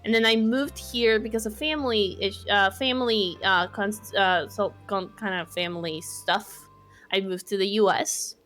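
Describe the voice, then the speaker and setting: A woman in her twenties from Mexico with a Mexican Spanish accent, a podcast guest speaking casually in English, her second language, pausing and restarting to find her words. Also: mid-range voice, a bit bright, faint music behind. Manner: thoughtful, searching for words, many restarts. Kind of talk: casual conversation.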